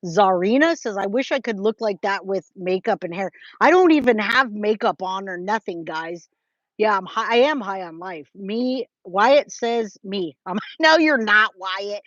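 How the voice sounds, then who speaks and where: A woman's voice: mid-range voice, expressive, a little slow, a bit bright, no echo, muffled recording. An American woman in her forties chatting casually with her livestream viewers, informal and emphatic, often repeating herself for effect. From California, United States. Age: 40s. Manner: informal, addresses viewers as guys, babe, my love. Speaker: true crime livestream host. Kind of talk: casual livestream chat, replying to viewers' comments.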